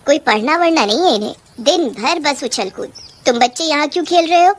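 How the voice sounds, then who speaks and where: A woman acting as an indignant female witch from a cartoon horror comedy. The voice is high, and the manner is fussy and scolding. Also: fairly quick, a little hiss or hum, close to an everyday voice. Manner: scolding, complaining.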